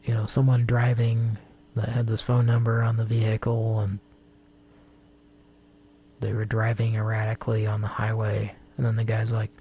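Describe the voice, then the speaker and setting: A man's voice: fairly low pitch, completely flat delivery, normal speed, mellow, slight background noise. A man hosting a prank-call show, chatting casually in a rambling, jokey and profane way. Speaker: host. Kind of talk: casual chat.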